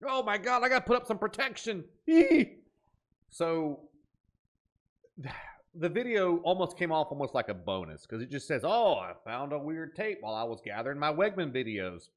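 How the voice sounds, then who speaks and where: A man's voice: on the high side, moderate pace. A man hosting a reaction video, talking casually and dramatically as he comments on what he watches. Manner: animated, dramatic exclamations.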